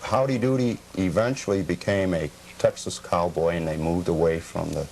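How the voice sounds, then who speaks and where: An American man giving informative interview answers as a collector guest, factual and slightly formal in a measured way. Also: deep voice, vocal fry, darkish tone. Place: television studio. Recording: noisy, deteriorated old cable TV tape.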